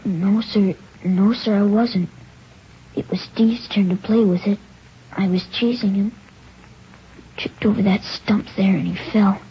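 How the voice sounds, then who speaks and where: A performer playing a guilt-stricken nine-year-old boy in an old radio drama, halting, breathy and pleading. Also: medium pitch, fairly even intonation. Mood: tearful, pleading. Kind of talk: 1950s radio drama acting. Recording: dull, noisy old radio recording.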